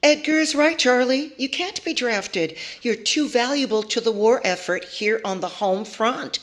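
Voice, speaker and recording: A woman's voice: fairly high pitch, a little slow, natural voice. A woman playing a sweet, affectionate young leading lady who coaxes and reassures in 1940s radio comedy style. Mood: fond, coaxing.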